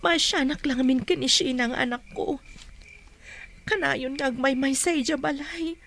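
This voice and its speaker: A woman's voice: high-pitched, normal speed, creaky, fairly bright tone, slight background noise. A woman playing a distressed, pleading mother who stands up for her child in an emotional audio drama. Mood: pleading, upset.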